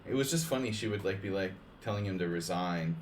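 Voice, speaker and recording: A man's voice: low voice, brisk, slight background noise. A man streaming chess against viewers, chatting casually and rambling, with lots of "like" and restarts between joking asides. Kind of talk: casual live-stream chat over games.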